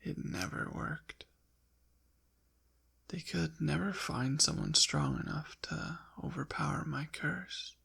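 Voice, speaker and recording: Man playing a slow, breathy, gravelly demon. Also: a little high, very animated, pushed and bright, heavily acted. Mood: smug, quietly menacing. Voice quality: breathy, often whispered, gravelly. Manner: coy, teasing, haughty, formal old-fashioned wording. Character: suave, possessive ancient male demon trapped in a necklace. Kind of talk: intimate ASMR roleplay acting.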